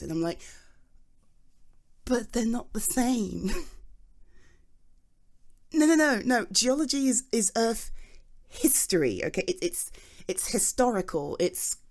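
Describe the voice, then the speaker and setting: A woman's voice: on the low side, animated, unhurried, long pauses, a little mellow. A British woman playing a chatty, flustered geology nerd in an intimate audio roleplay, rambling fondly and animatedly as she explains things to someone close. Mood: animated, indignant. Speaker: ASMR roleplay voice performer.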